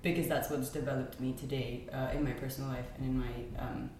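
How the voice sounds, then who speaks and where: A woman's voice: rumbling low, level tone, a little fast, darkish tone. A young woman from Spain, a podcast guest chatting casually, with frequent ums and light laughter. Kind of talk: casual conversational chat. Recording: very echoey room with faint noise.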